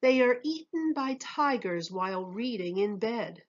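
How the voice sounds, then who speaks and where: An imaginative storybook waitress, as a woman reader plays her, explaining in lilting rhyme. Her voice is high and unhurried, and the recording sounds a bit dull. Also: expressive. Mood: earnest, explaining. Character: helpful, imaginative waitress explaining odd dishes.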